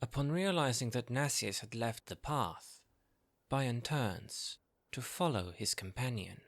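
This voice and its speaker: A man narrating a scripted fantasy audio drama in a measured storytelling manner. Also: mid-range voice, lively intonation, fairly bright tone, no room sound.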